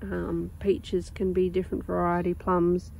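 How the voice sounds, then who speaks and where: Croaky woman chatting in a garden. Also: lowish voice, monotone, unhurried, a little hiss or hum, low-quality recording. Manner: rambling, chatty, with many ums and soft laughs. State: croaky with a sore throat. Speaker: gardening vlogger. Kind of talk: casual chat. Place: outdoors in a sunny garden.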